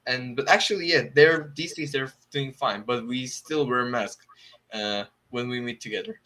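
A man's voice: mid-range voice, steady tone, moderate pace, sharp tone. Hesitant young Korean man learning English. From South Korea. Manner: hesitant, searching for words, many fillers. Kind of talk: casual video-call conversation. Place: small room at home, indoors. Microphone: video call.

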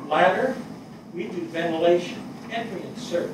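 A man in his sixties playing a plainspoken, grieving fire captain in a stage reading, halting and matter-of-fact. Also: sits high, lightly acted. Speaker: stage actor in a dramatic reading. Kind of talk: conversational stage dialogue read from a script. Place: small theatre stage before an audience. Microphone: far camera. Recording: echoey theatre, distant mic, noisy.

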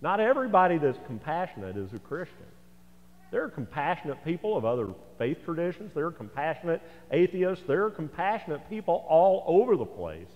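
A man's voice: a little high, very animated, a little fast. An older American man in his sixties preaching a sermon in a folksy, conversational way, with asides to the congregation. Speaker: pastor. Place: large church sanctuary, indoors. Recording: echoey church hall, slightly dull sound.

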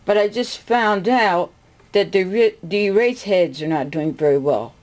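A woman casually narrating a home demonstration of audio gear, haltingly searching for her words. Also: medium pitch. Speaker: vlogger and audio gear hobbyist. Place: indoors, room with tape decks. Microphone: handheld camera, speaker off screen. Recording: home camera audio with loud music.

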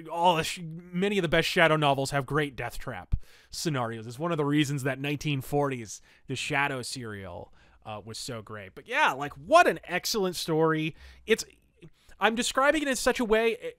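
A man's voice: on the high side, fairly bright tone, no echo, studio quality. A man hosting a review podcast, talking casually and enthusiastically with emphatic, colloquial storytelling. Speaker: host of a pulp fiction review podcast.

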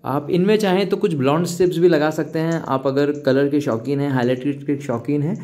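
Male Indian-accented presenter, mellow and slightly high, explaining a service promotionally with English words mixed in. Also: steady tone, brisk. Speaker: hair clinic presenter. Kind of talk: promotional demonstration narration.

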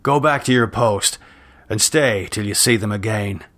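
A man voicing a gruff, commanding captain of an Old West party, firm and authoritative, in a storybook reading. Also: lowish voice, moderate pace, fairly bright tone, close to an everyday voice.

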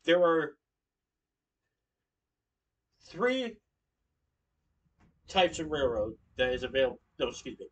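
A man's voice: on the high side, very animated, slow pace, long pauses, breathy edge. A young man from Connecticut giving an informative class speech at home, standing and gesturing, sometimes correcting himself. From Connecticut, USA. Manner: standing, gesturing while speaking, occasional self-corrections. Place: living room at home, indoors. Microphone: webcam across the room. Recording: voice from a distance.

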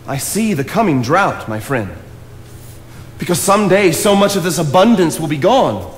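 A man's voice: a little high, big pitch swings, moderate pace, a little hiss or hum. An actor in his thirties playing an earnest, solitary young naturalist on a theatre stage, speaking in a philosophical, declamatory way. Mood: impassioned. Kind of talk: stage play acting. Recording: hall reverb of a theatre stage.

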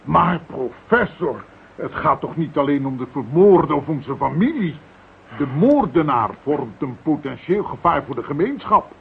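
A dutiful police commissioner in a radio play. The voice is fairly high for a male, measured and authoritative. The recording is very narrow and thin, with faint noise. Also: sing-song, creaky, full character voice. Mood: courteous, firm. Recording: thin band-limited radio drama recording, slight noise.

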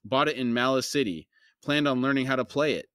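A man's voice: mid-range voice, a little fast, a bit bright, clear, resonant tone, no room sound, studio quality, lightly acted. A man voicing an earnest young male soldier who speaks eagerly and politely to his commander in a read-aloud fantasy story.

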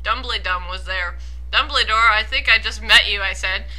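A woman's voice: mid-range voice, moderate pace, bright, forceful tone. A young woman co-hosting a comedic commentary, reading aloud mockingly and chatting casually in a giggly, incredulous way. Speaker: co-host of a comedic fan fiction commentary. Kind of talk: casual chat mixed with mocking dramatic reading.

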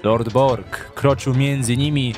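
A man's voice: medium pitch, fairly even intonation, slow, music in the background. A man narrating a scripted dramatic story for an audio drama.

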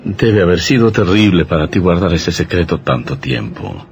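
A deep, gravelly voice on a slightly noisy old radio recording: a devoted doctor husband. He speaks very slowly, hushed and reassuring. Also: animated. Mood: tender, soothing. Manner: hushed, soothing. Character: soothing devoted husband and psychiatrist, tender, quietly reassuring. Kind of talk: radio horror drama acting.

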